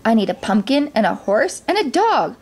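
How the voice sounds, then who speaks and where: A woman's voice: a little high, sing-song, moderate pace, darkish tone. A woman voicing a kindly, reassuring little fairy godmother who muses as she works magic in a storybook. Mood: musing.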